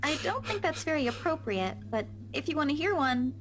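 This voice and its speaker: A woman voicing a kind, supportive young friend in a visual novel, in a gentle, easygoing conversational manner. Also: high voice, big pitch swings, a little fast. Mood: gently awkward.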